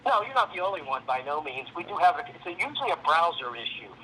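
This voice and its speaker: A man's voice: on the high side, sing-song, fast. A man on a phone call, explaining things conversationally as a program representative. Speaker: legal defense program representative. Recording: phone call with faint background noise.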